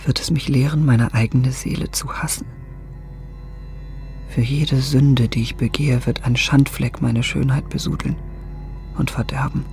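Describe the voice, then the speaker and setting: A man playing a vain, brooding young gentleman in a radio drama, speaking intensely and inwardly, partly in whispers. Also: mid-range voice, vocal fry, close to an everyday voice.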